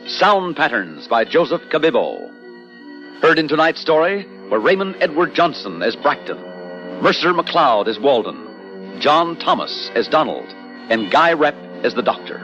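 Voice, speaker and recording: A man's voice: on the high side, big pitch swings, measured pace, a bit bright, soft background music. A man announcing scripted credits in the style of an old network radio drama program. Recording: muffled old radio recording.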